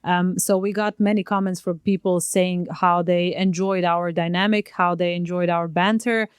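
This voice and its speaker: A woman's voice: on the low side, steady tone, moderate pace, clean tone, no echo, studio quality. A woman whose first language is Croatian, co-hosting a podcast in casual, thoughtful conversation from a home setup. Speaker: podcast co-host. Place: child's bedroom used as home studio. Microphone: podcast mic at home.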